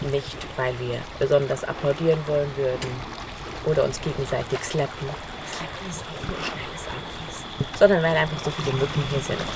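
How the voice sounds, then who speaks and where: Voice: medium pitch, background noise. A narrator telling a first-person audio story in the present tense, weaving quoted dialogue into the narration.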